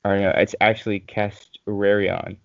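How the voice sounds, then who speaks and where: A man's voice: on the low side, little pitch movement, a little slow, creaky, dry recording. A young man casually reading a story aloud among friends, hesitant and given to giggling. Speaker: co-host reading fan fiction aloud. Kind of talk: casual group chat and amateur story reading. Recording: dull lo-fi voice chat audio.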